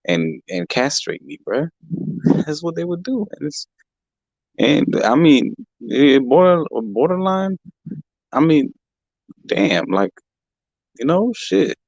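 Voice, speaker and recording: A young man hosting a casual livestream, talking in a heated, profane way and repeating himself, his voice a bit rough from allergies. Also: medium pitch, a little mellow, dry recording, dull audio. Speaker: livestream host. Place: home in California.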